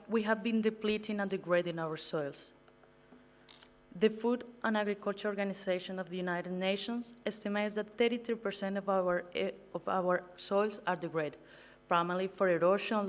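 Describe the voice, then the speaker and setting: A woman's voice: medium pitch, moderate pace. A young woman from Colombia with a Colombian Spanish accent, giving a prepared talk to an audience as a master's student, earnest and a little nervous, stumbling on some words. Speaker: guest speaker, master's student. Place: event venue.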